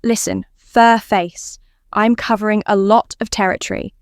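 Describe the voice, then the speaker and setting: A woman's voice: mid-range voice, steady tone, measured pace, no room sound, studio quality, close to an everyday voice. Woman as a blunt female space hero.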